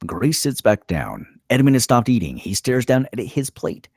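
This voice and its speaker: A man reading stage directions as a narrator at a script table read, in a terse, measured dramatic style. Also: medium pitch, sing-song, gravelly, darkish tone, breathy edge, no room sound, clean studio sound.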